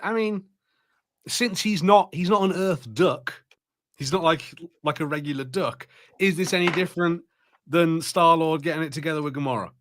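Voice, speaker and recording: Opinionated man with a slightly high voice. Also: normal speed, fairly bright tone. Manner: opinionated, argumentative, casual swearing. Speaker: podcast host. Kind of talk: casual pop-culture podcast banter.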